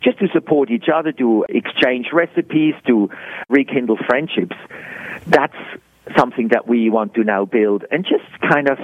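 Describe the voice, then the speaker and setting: A man from Switzerland, a guest explaining his health program in an earnest, explanatory way, often pausing and restarting sentences. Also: mid-range voice, no echo. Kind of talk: conversational interview answers. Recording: dry, phone-like narrow sound.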